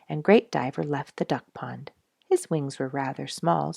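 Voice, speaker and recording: Low-voiced woman reading a children's story. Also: vocal fry, a little mellow, husky, no room sound, clean studio sound. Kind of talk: expressive read-aloud children's storytelling.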